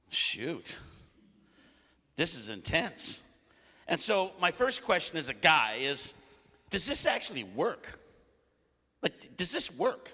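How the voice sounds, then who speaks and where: A man preaching a sermon with a loud voice and animated storytelling, often clearing his throat. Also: on the high side, long reverb tail.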